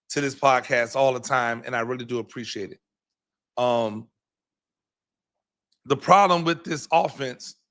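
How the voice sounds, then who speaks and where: A hoarse man gives his postgame football reaction, his voice bright and forceful despite the strain. He rambles, circles back and repeats his words with frequent ums, talking like a coach breaking down a game. His pitch sits in the middle for a man. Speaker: podcast host and high school football coach.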